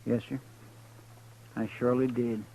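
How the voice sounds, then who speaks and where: An actor playing a loyal, fretful deputy in a 1950s radio western, speaking in a folksy, twangy drawl. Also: male voice, medium pitch, level tone, a little slow, dark tone, close to an everyday voice. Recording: tinny old radio broadcast.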